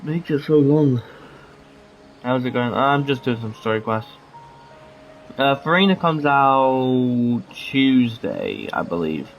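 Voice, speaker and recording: Halting male game streamer, casual. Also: mid-range voice, big pitch swings, lo-fi sound, soft background music. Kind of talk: casual chat while playing a game.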